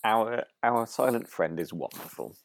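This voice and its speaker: A British man co-hosting a podcast in relaxed, casual chat, with chatty, hesitant phrasing. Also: on the low side, measured pace, vocal fry. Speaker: podcast host.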